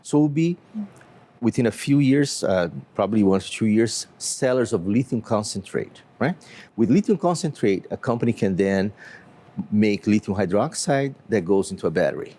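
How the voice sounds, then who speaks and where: A man from Brazil with a Brazilian accent, a company CEO explaining his business in an interview in a measured way with frequent fillers. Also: on the low side, animated, warm tone.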